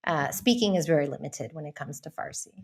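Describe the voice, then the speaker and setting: A woman's voice: low-pitched, expressive, brisk, slightly rough. A woman in her thirties from Texas, talking as a podcast guest in a candid, reflective way, laughing easily. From Texas, United States. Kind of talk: casual interview chat.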